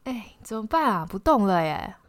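A woman's voice: medium pitch, very animated, moderate pace, lightly acted. A woman playing an alarmed, bossy schoolgirl who scolds urgently in a horror radio play. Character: alarmed bossy schoolgirl trying to keep control.

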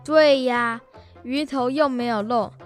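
A female performer playing a dutiful, cheeky young grandson in a children's radio drama, with simple, deliberate delivery. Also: high-pitched, soft background music.

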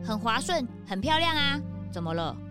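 A woman's voice: on the high side, big pitch swings, moderate pace, music in the background. A woman voicing an eager, naive young detective sidekick in a children's audio drama, wide-eyed and full of questions. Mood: eager, surprised.

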